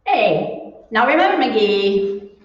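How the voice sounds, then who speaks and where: Woman acting sassy scolding wife in old radio comedy, lively slightly high voice, sounds far off. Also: slow, a faint crowd. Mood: cheerful, brisk. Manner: teasing, gently scolding. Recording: muffled, echoey room, far from mic.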